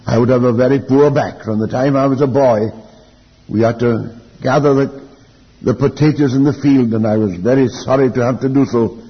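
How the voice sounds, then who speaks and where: Elderly preacher, muffled in a reverberant hall. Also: male voice, mid-range voice, fairly even intonation, husky. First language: Welsh. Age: older, elderly. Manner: deliberate, reflective, with rhetorical questions and repeated phrases. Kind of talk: Bible teaching sermon.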